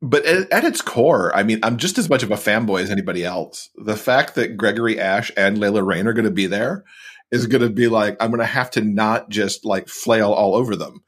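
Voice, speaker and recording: A man's voice: on the low side, brisk, slightly rough. A man chatting casually as a podcast guest, upbeat and informal, with a fannish enthusiasm. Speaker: podcaster and author, interview guest.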